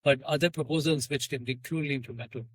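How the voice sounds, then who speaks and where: Husky, halting male podcast host. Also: medium pitch, fairly even intonation, no room sound. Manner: halting questions with many restarts. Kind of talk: casual podcast interview.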